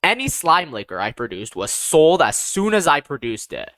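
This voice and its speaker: Expressive audiobook narrator with lively pitch. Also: moderate pace, no room sound. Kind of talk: scripted first-person fantasy story narration.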